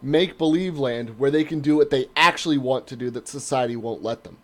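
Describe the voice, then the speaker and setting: A man in middle age talks casually on a podcast, at an ordinary mid-range pitch. He is opinionated and sarcastic, and swears freely as he makes his case. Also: fairly quick. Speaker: podcast host. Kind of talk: casual chat.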